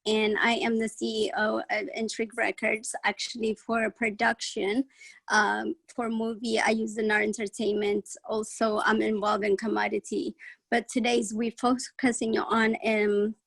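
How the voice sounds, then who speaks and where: A middle-aged woman from Ethiopia, a record label head, talking casually as a panel guest over a video call, halting with many pauses and restarts. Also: on the high side, flat delivery, creaky, fairly bright tone, slightly rough, dry recording, low-quality recording.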